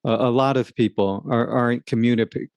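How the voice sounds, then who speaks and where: A man's voice: medium pitch, fairly even intonation, moderate pace, darkish tone, dry recording. A man co-hosting a casual, reflective chat, hesitant and searching for his words. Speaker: podcast co-host.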